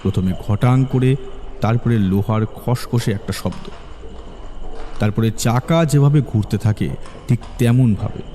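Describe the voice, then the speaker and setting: A man's voice: mid-range voice, unhurried, mellow, slight background noise. A man narrating a horror story as a storyteller, in a dramatic, suspenseful way. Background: faint background music.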